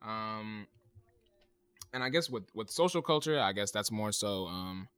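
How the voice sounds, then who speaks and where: A man's voice: on the low side, lively intonation, unhurried, fairly bright tone, clear, resonant tone, dry recording, studio quality. A young man hosting a casual podcast, hesitant and filling his talk with "um" and "like". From United States. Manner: hesitant, many "um" and "like" fillers. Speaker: podcast host. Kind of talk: casual chat.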